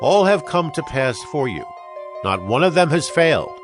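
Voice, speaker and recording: Solemn aged leader, music behind. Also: male voice, on the high side, very animated, normal speed, distant mic. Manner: solemn, declamatory, emphatic. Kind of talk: dramatized scripture oratory.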